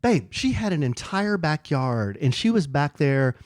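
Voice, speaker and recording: A man's voice: on the high side, a little slow, dry recording, studio quality. A middle-aged man co-hosting a podcast, telling a story in casual chat and acting out the quoted lines. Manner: animated storyteller, acts out quoted dialogue. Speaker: podcast co-host.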